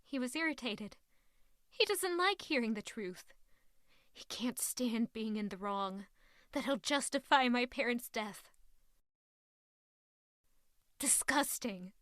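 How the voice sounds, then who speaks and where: A woman's voice: a little high, animated, slow pace, pauses often, a bit bright, no room sound, clean studio sound. A woman acting a stubborn, grieving young refugee who feels betrayed, intense and accusatory in a fantasy audio drama. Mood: disgusted, accusing. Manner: intense, accusatory, emotionally charged.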